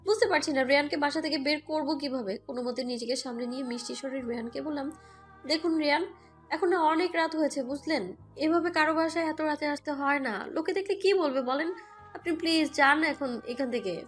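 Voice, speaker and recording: A woman's voice: sits high, a little fast, close to an everyday voice. A woman playing a hurt, defiant young mother who narrates her own romantic audio story, with an emotional, scolding manner. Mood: exasperated narration, then coaxing. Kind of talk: first-person Bengali audio story narration and dialogue. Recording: music bed behind the voice.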